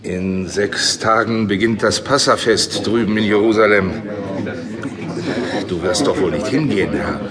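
A man's voice: on the low side, unhurried, a crowd in the background, lightly acted. A man playing an anxious, devoted disciple in an audio drama for children, urgent and pleading. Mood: concerned.

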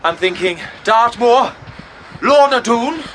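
A man's voice: very high voice, expressive, slow, a bit bright, a little hiss or hum, exaggerated voice. An actor playing a pompous, self-important amateur theatre director in a comic radio drama, grandly enunciating his words. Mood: grandiose.

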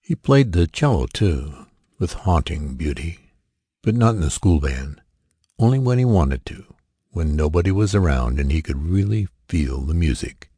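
A man narrating a short story in a measured, dramatic storytelling manner. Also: rumbling low, expressive, mellow, breathy edge, dry recording, clean studio sound. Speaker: audiobook narrator.

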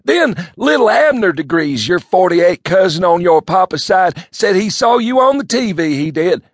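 A man's voice: high voice, very animated, moderate pace, no room sound, voice pushed up, heavily acted. A man voicing a bumbling rural cousin in an audiobook, with a folksy, ungrammatical country drawl. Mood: hearty, folksy. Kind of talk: audiobook character voice.

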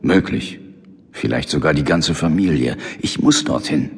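An actor playing a sheepish, worried investigator in a German audio drama, hesitant and apologetic. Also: male voice, very deep voice, very animated, a little slow, gravelly, husky, in a large hall, heavily acted. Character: male investigator, sheepish, worried, urgently asking his friend along.

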